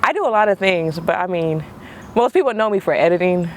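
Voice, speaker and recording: A young man, born in Hawaii and raised in Georgia, talks as a guest in a casual interview chat, relaxed and slangy and laughing easily. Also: sits high, a little fast, a little hiss or hum.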